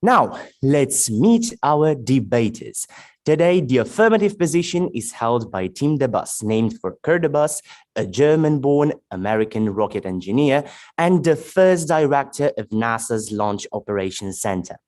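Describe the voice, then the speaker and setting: A man moderating a debate tournament on a video call. His pitch swings in a lively, animated way as he reads out introductions and rules in measured, formal sentences.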